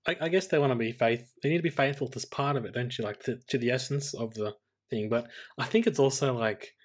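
A man's voice: mid-range voice, fast talker. A man co-hosting a casual film review chat in a thoughtful, slightly tentative way. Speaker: podcast co-host.

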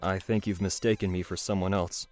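A man's voice: low-pitched, little pitch movement, a little fast, a little mellow, faint music behind, close to an everyday voice. An actor playing a weary, remorseful father in an audio drama, speaking in a hesitant, subdued way. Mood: wary. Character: weary, remorseful troubled father, pleading and apologetic.

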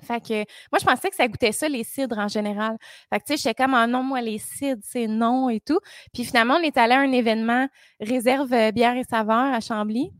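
A woman in her twenties with a Québécois French accent, chatting casually as a podcast co-host, giggly and full of lively asides. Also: a little high, fairly quick, no room sound, studio quality. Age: 20s. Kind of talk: casual chat.

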